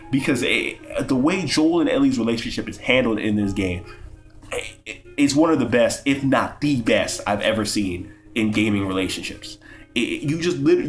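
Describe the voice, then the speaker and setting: Brief: young male gaming vlogger, sick and sniffly, cursing and rambling, mid-range voice, faint music behind. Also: mid-range voice. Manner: rambling, profane, stammering restarts. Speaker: gaming YouTuber. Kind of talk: casual game review.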